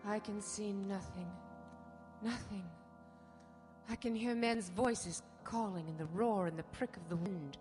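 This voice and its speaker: A woman's voice: mid-range voice, unhurried, a little mellow, natural voice. A woman playing an eerie, haunted young Victorian woman in a hypnotic trance in a live radio horror drama. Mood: entranced, uneasy. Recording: live hall stage with music behind.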